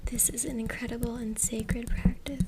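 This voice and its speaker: A woman whispering breathily and softly in an ASMR style as she reads aloud, close to a USB microphone. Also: a little high, steady tone, normal speed. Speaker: ASMR content creator. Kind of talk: ASMR whispered reading from a book. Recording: very noisy close USB mic, clicks. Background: loud noise, tapping and scratching clicks.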